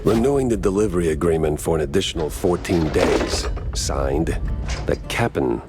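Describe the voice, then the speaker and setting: Very deep hard-boiled noir detective. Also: male voice, lively intonation, normal speed, loud music behind the voice. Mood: musing. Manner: dry, sardonic, hard-boiled. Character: world-weary male mouse private detective, hard-boiled 1930s noir gumshoe. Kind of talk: film noir narration and dialogue.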